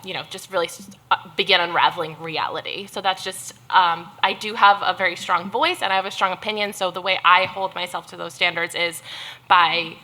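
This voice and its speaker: Young woman, journalist, panel talk, bright tone, earnest, restarts often. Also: lowish voice, rough recording. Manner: earnest, fast, many restarts. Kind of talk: panel discussion.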